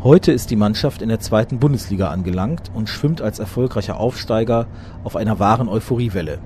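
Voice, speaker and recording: A man narrating a scripted documentary in a measured, factual reading voice. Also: on the low side, dark tone, a little hiss or hum.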